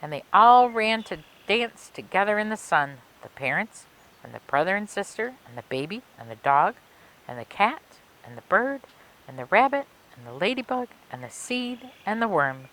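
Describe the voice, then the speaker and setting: Woman, children's librarian, bright tone, animated pitch, simple clear phrasing, storytime delivery. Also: normal speed, poor audio. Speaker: children's librarian reading a story and demonstrating a craft. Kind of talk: read-aloud storytelling and craft instructions for children.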